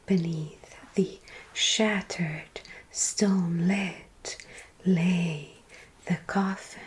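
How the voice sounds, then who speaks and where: Very slow whispering woman storyteller. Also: fairly low pitch. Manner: soft unvoiced whisper, slow and drawn-out. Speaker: ASMR storyteller playing a vampire. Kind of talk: whispered ASMR storytelling roleplay.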